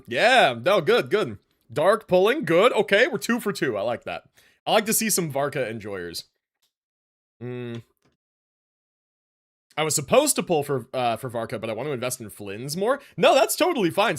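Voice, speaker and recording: A man's voice: fairly high pitch, wildly swooping pitch, normal speed. A man hosting a livestream, chatting casually with viewers in an informal way and often repeating phrases for emphasis. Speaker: live streamer host.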